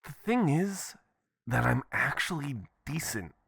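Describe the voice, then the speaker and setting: A man's voice: on the high side, a little slow, gravelly, breathy edge, dry recording, studio quality, exaggerated voice. A man playing an adventurous, affectionate boyfriend leaving casual, playful voicemails in an intimate roleplay style. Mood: sheepish, amused. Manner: casual, expressive, chuckling, swearing. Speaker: audio performer.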